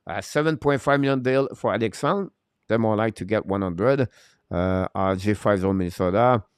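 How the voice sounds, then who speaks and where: Man, lowish smooth voice, dry close sound, opinionated live sports commentary. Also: normal speed, dry recording. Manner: opinionated, emphatic, repeats points. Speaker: hockey live-stream host. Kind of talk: unscripted sports commentary, answering live chat.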